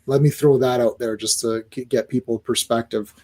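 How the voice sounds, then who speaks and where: A man co-hosting a casual podcast, talking in an animated, rambling way with many restarts. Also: mid-range voice, normal speed. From Croatian family, born locally. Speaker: podcast co-host. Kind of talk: casual chat.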